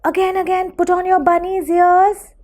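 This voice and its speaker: A woman teacher leading a phonics lesson for young children, enunciating deliberately and giving encouraging prompts and praise. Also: very high voice. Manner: animated, encouraging, deliberate enunciation with repeated call-and-response questions.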